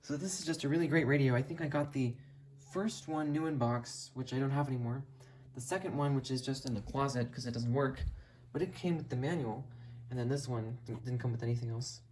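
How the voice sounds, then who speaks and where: A mid-pitched hobbyist talks through a collection in a casual video. The delivery is earnest and rambling, full of enthusiasm. The recording has noticeable background noise and small clicks. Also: brisk, darkish tone. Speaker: hobbyist video creator showing a radio collection.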